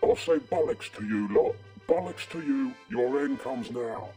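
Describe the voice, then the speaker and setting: A man's voice: fairly high pitch, huge pitch swings, unhurried, vocal fry, mellow, faint music behind, exaggerated voice. A man playing a foul-mouthed, indignant comic supervillain with a thick, slurred British accent in a superhero parody. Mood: menacing, indignant. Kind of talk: superhero parody audio drama.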